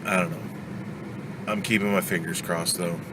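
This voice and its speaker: A man's voice: sits low, fairly even intonation, normal speed, heavy background noise. A man with a stuffed-up cold talking casually in a daily vlog, venting his frustration. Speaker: vlogger.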